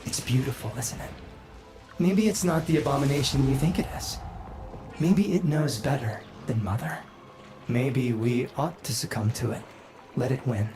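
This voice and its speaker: A man's voice: medium pitch, moderate pace, close to an everyday voice. An aggrieved, self-pitying young outcast from a video game drama, played by a man. His mid-range voice is brooding and sardonic, heard with noticeable echo and music underneath. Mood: resigned, brooding.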